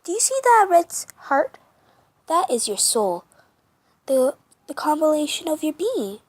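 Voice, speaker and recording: A woman's voice: high voice, sing-song, unhurried, no echo, pitched above the performer's own voice. A woman voicing a falsely cheerful flower creature in an amateur comic dub, with a chirpy, overly friendly manner. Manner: chirpy, overly friendly, mid-word restarts.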